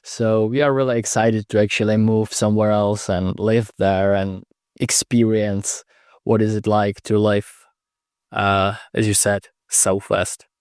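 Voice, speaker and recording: Man, Czech accent, young adult, low-pitched, monotone, smooth, dry close recording, hesitant. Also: unhurried, dry recording. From Czech Republic. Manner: hesitant, many restarts and fillers. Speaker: guest. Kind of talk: casual interview answers.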